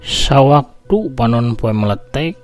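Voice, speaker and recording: A man's voice: medium pitch, lively intonation, slow pace. A man with a Sundanese accent narrating a scripted folk tale in a dramatic, theatrical storytelling style. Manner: theatrical, with voiced sound effects.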